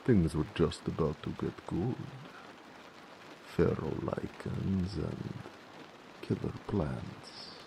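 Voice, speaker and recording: A man playing a devoted orc blacksmith in a romantic audio roleplay, deep and gravelly, tender yet possessive and stubborn, speaking slowly and earnestly. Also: background noise, heavily acted. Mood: cozy, teasing.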